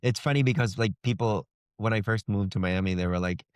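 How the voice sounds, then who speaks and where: A man chats casually as a guest author in an interview. His voice is a little on the low side, and it comes through dry, with no room sound. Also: a little fast, clean tone, dry recording. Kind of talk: casual chat.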